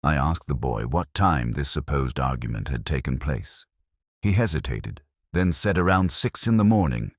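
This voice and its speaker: An extremely deep male voice , a man acting as a brooding police inspector telling his own mystery story. The delivery is measured and literary, with expressive swings in pitch, recorded close and dry. He sounds reflective and sceptical. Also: no room sound. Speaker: narrator. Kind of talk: scripted first-person mystery narration.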